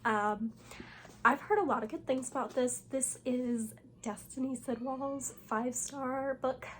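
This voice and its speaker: Hoarse chatty young woman booktuber. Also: high-pitched, a little slow, fairly bright tone. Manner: chatty, rambling, full of "like" and "um". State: hoarse, recovering from losing her voice. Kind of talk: casual reading vlog chat.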